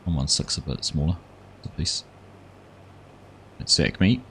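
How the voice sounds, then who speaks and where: A man's voice: a bass voice, measured pace, a little hiss or hum. A man with a New Zealand accent, chatting casually with viewers while streaming a farming game. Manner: relaxed, rambling, chats with viewers. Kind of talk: casual gameplay livestream chat.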